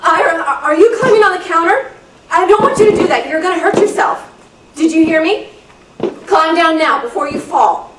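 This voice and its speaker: A woman playing an exasperated young babysitter growing frightened in the dark, in an amateur radio thriller. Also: very high-pitched, expressive, moderate pace. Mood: anxious, pleading. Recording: echoey room, distant mic, background noise.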